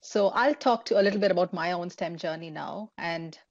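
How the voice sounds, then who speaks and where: A woman's voice: fairly low pitch, brisk, no echo, lo-fi sound. A woman in her thirties from India with an Indian accent, a professor telling students about her path into engineering in a chatty, self-deprecating way over a webcam. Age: 30s. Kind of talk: online forum talk for students. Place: indoors at home, plain wall behind. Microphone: laptop webcam, video call.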